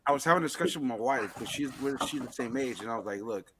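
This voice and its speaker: A man's voice: mid-range voice, very fast, crowd noise. Chatty man in his forties. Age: 40s. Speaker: podcast co-host. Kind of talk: casual group chat.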